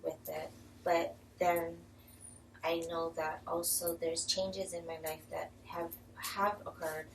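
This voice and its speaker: Unwell woman, flat and slow, hesitant; roomy room, distant mic. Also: deep voice, noisy background. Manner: hesitant, nervous little laughs. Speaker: class participant. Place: clinic room.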